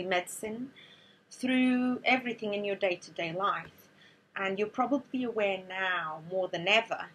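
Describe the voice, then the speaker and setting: Woman, breathy, neuroscientist, measured talk to students. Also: medium pitch, a bit bright, low-quality recording. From Portugal. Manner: measured, explanatory, with a few restarts.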